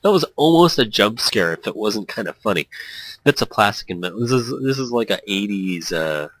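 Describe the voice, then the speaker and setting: A man's voice: fairly low pitch, expressive, fairly quick, fairly bright tone, no echo, rough recording. A man casually reading a visual novel aloud and joking about it, stumbling and correcting himself as he goes. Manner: jokey, laughs often, reads with stumbles and self-corrections. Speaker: let's play host reading a visual novel. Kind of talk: casual commentary and reading aloud with quoted character lines.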